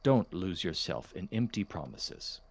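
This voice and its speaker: A man playing a measured, kindly science professor in an audio drama, formal and precise, hesitant and gently reproving. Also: deep voice, very animated, gravelly, husky, exaggerated voice. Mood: gently cautioning.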